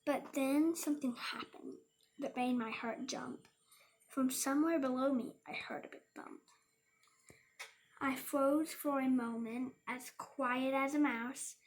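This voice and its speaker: A woman's voice: high voice, steady tone, measured pace, a little hiss or hum. A young girl reading a rhyming storybook aloud and chatting casually, stumbling over and repeating words. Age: child.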